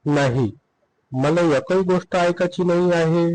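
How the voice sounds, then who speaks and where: A man's voice: high voice, unhurried, darkish tone, dry recording, rough recording. A man playing a rude, grumbling husband in a comedy cartoon, blunt and sarcastic with a Vidarbha Marathi accent. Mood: irritated, rude.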